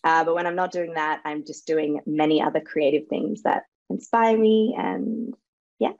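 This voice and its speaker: A woman whose first language is Serbo-Croatian talks casually about her life and work, with plenty of fillers and easy laughter. Her voice sits fairly low. The sound is dry and dull, like a video call. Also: normal speed, darkish tone, no room sound. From Australia. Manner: chatty, laughs easily, lots of fillers. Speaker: guest author. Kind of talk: casual interview chat.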